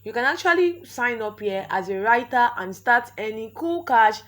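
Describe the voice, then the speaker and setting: A woman's voice: on the high side, animated, normal speed, a bit bright. Nigerian accent, woman, young adult, Yoruba native speaker, chatty screen-recording tutorial, repeats words as she goes. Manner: chatty, repeats words and restarts often, instructive. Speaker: vlogger.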